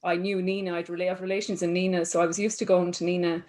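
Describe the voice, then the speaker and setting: Cheerful Irish woman from Galway, lowish voice. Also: level tone, quick pace, a little mellow. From East Galway, Ireland. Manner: cheerful, laughs easily. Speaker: guest. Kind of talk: casual interview answers.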